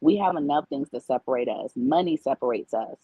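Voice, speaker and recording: Husky woman explaining at length. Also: a bass voice, lively intonation, a little fast, no room sound, narrow, tinny audio. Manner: explains at length, repeats points. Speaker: guest. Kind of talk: earnest casual discussion.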